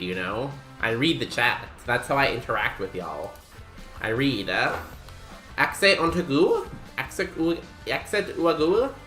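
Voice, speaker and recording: A man's voice: mid-range voice, sharp tone. A young man from Canada chatting casually with his stream audience while he plays, rambling and poking fun at himself with lots of ums and likes. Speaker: streamer playing a video game. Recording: roomy echo with faint music behind.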